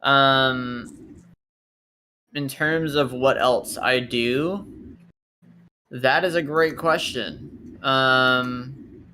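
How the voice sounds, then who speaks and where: A man's voice: mid-range voice, steady tone, very slow, long pauses, pushed and bright, slight background noise. A man chatting casually as a tabletop roleplay player, hesitantly thinking aloud and swearing casually. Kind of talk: casual game chat.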